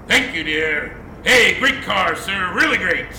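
A man's voice: high voice, expressive, takes it slowly, sharp tone, reverberant, soft background music, full character voice, low-quality recording. An actor playing a hearty older father calling out from the house in a period audio drama.

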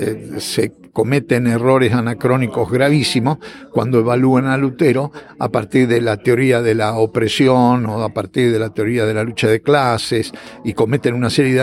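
A middle-aged man, answering interview questions as a historian in a lecturing way, often pausing on filler words. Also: mid-range voice. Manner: lecturing, with frequent "este" and "eh" fillers and restarts.

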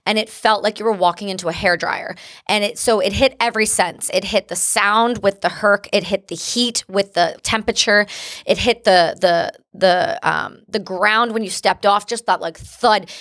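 A woman's voice: medium pitch, steady tone, normal speed, fairly bright tone. A Canadian woman in her thirties, a guest on a talk show, telling her own story in a blunt, vivid and emphatic way. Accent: Canadian English. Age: 30s. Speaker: guest, military veteran. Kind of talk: personal storytelling in conversation.

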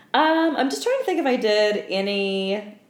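A woman's voice: mid-range voice, big pitch swings, unhurried. A tired woman co-hosting a podcast, chatting and telling stories casually in a playful, giggly way, with some swearing. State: tired after a night without sleep. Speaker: podcast co-host. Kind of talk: casual chat and storytelling between friends. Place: indoor room at home. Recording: echoey room.

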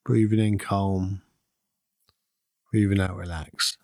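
A man leading a guided meditation in a calm, soothing, unhurried manner with long pauses between phrases. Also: low-pitched, creaky, no room sound. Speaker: meditation guide and podcast host. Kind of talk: guided meditation, gentle instruction.

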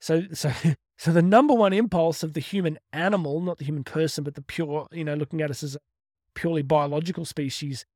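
Energetic man in his fifties. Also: fairly high pitch, fairly quick, no echo, studio quality. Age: 50s. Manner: energetic, conversational, repeats words when restarting. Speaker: motivational speaker. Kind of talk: solo motivational podcast monologue.

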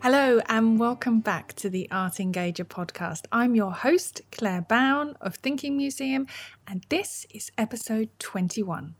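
A woman speaks as a podcast host with a mid-pitched voice. She presents clearly and in a measured way, in the style of a friendly introduction and interview.

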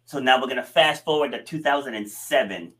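A man in his forties telling stories in a relaxed podcast conversation, laughing easily. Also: mid-range voice, brisk. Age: 40s. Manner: storytelling, animated, laughs easily. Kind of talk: casual conversation.

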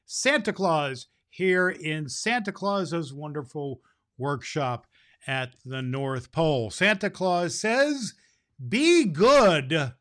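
A man speaking as a jolly Santa Claus reads a storybook to children. His pace is unhurried and gentle, and he occasionally trips over a word. His voice sits a little high for a man. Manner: slow, gentle, jolly, stumbles over words. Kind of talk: reading a children's storybook aloud.

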